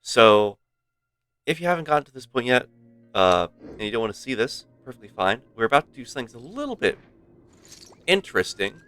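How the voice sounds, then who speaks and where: A man's voice: mid-range voice, sing-song, moderate pace, long pauses, fairly bright tone. A man chatting casually on a game livestream, rambling and playful. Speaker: variety game streamer. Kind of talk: casual livestream chat and commentary. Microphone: close streaming microphone. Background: faint game music.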